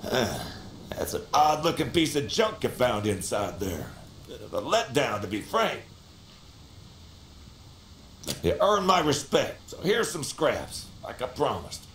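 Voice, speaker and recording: A man's voice: mid-range voice, sing-song, a little slow, a bit bright, reverberant, a little hiss or hum. A man voicing a cocky, plain-spoken junkyard worker in a video game, with a blunt, folksy, teasing manner. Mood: let down. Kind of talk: video game character acting.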